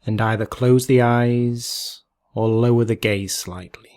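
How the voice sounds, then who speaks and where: A man leading a guided meditation in a calm, gentle, measured way, leaving long pauses between phrases. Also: mid-range voice.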